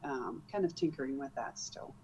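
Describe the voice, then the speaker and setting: A woman's voice: extremely low pitch, moderate pace, breathy edge, dull audio. Chatty woman from the Netherlands, light Dutch accent. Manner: chatty, animated, laughs easily. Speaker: author, guest. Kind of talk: casual interview.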